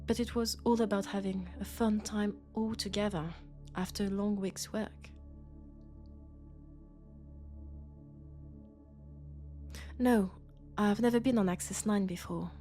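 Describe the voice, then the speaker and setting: A woman with a French accent, sick with a cold, playing a gentle, sociable traveling doctor from Mars in an intimate sci-fi audio roleplay, chatty and soft. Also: mid-range voice, close to an everyday voice. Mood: chatty, amused. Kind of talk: intimate sci-fi roleplay acting, one-sided conversation.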